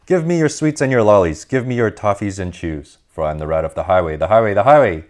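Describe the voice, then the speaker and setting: Guy putting on a greedy rat bandit, loud and boastful, low voice. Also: expressive, fast talker, lightly acted. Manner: boastful, bellowing demands. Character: greedy swaggering male highway rat robber.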